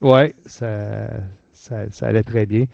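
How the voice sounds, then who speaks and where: A man's voice: fairly low pitch, steady tone, slow, creaky, mellow, no echo, highs cut off. A man with a Quebec French accent hosting a casual review chat in an informal, colloquial way. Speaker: podcast host. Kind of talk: casual chat, book and audio drama review.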